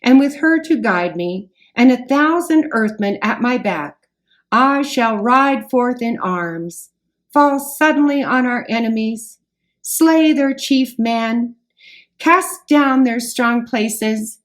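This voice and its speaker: A woman's voice: fairly high pitch, animated, takes it slowly. A woman voicing a formal, grandly courteous enchanted knight from a storybook, with old-fashioned, boastful speech. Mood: proud, determined. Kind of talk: storybook read aloud.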